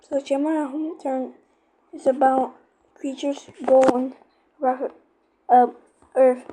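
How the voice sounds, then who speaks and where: High-pitched, halting young-sounding female explainer. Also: little pitch movement, dark tone, a little hiss or hum. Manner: halting, searching for words. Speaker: YouTuber explaining horror lore. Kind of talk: casual, informal explainer.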